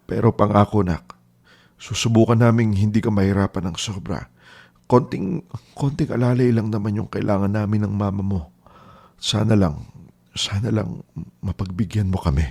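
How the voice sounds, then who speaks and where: An actor playing a gentle, apologetic middle-aged father who has fallen ill, speaking earnestly. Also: on the low side, fairly even intonation, normal speed, gravelly, long pauses, husky. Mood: pleading. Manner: earnest, pleading. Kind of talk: radio drama acting.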